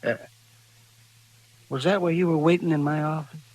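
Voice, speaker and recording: A man's voice: fairly high pitch, steady tone, normal speed, a little mellow, lightly acted. An American man in a vintage radio western, playing a crusty, weary old town doctor who talks in a folksy, querulous mutter. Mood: grumbling. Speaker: radio actor.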